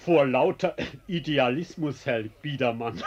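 An actor playing a sly, insolently calm male ex-convict in a German radio play, mock-courteous and ironic, with a husky voice. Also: medium pitch, muffled recording. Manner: mock-courteous, teasing, unhurried irony.

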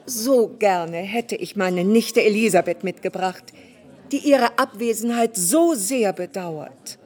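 An actress playing a gracious, courteous queen in a radio drama, formal and measured. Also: mid-range voice, a little mellow. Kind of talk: German radio drama acting.

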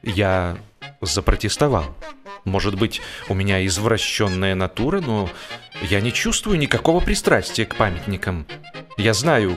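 Male narrator, theatrical comic storytelling over music. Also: on the low side. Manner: theatrical, expressive, with playful pauses. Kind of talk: dramatised humorous audiobook narration.

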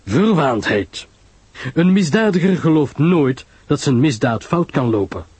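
Man acting a husky, measured, knowing detective. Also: a little high, sing-song, a little mellow, dry recording, pitched above the performer's own voice, heavily acted. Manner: measured, grave, didactic. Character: calm, sententious male detective, measured and knowing.

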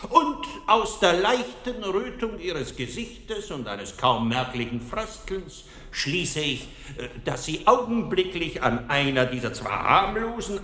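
Genial Viennese lecturer, radio drama, bright forceful voice, theatrical courtesy, dry wit, long hall reverb. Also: male voice, mid-range voice, heavily acted. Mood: eager, confident. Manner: courteous, theatrical, emphatic lecturing with repeated phrases. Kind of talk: German radio drama acting.

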